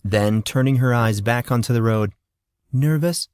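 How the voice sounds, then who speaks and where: A man's voice: fairly low pitch, fairly even intonation, normal speed, a little mellow, smooth, dry recording, clean studio sound. A man narrating scripted fiction as an audiobook storyteller.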